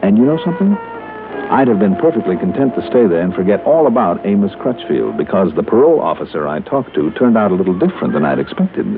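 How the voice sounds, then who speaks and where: An American actor playing a brisk, self-assured male insurance investigator in a mid-century radio drama. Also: on the low side, big pitch swings. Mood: wry narrating. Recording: tinny old radio broadcast, music.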